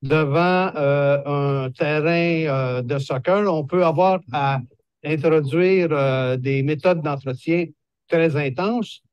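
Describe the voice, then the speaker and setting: A man in his sixties with a Québécois accent, a horticulturist explaining green-space care in a measured, hesitant way with many fillers. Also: fairly high pitch, smooth, no echo, lo-fi sound. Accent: Québécois French. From Quebec. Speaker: horticulturist presenting a video. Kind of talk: explanatory video talk.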